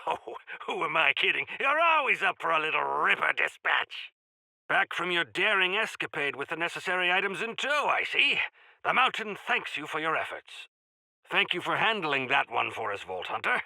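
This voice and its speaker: A man's voice: a little high, sing-song, moderate pace, vocal fry, pushed and bright, dry recording, exaggerated voice. An actor voicing a genial, pompous male quest-giver in a video game, speaking in grandiloquent, courtly phrases with wry asides. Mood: brisk, wry, then grateful, grand. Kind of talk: theatrical video game character acting.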